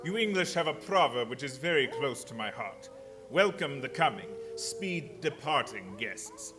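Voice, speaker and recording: Sinister male vampire count, courtly menace, sweeping intonation, bright edge, formal radio play delivery. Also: mid-range voice, lively intonation, faint music behind. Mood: mock-polite menace. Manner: slow, formal, theatrical delivery.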